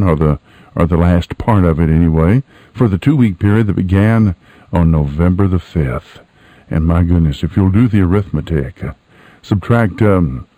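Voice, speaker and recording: An older man hosting radio in a casual, unscripted way, folksy and rambling with hesitant pauses. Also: sits low, mellow, dry recording. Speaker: radio host.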